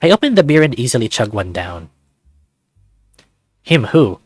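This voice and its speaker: Animated man narrating a visual novel. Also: medium pitch, fast talker, no room sound. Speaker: let's play host. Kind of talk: reading a visual novel aloud.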